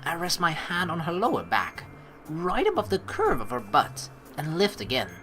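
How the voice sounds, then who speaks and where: Female let's player, slightly low and very animated, playful and teasing, reads story text and comments over soft game music. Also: normal speed. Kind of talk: gaming commentary mixed with reading visual novel text aloud.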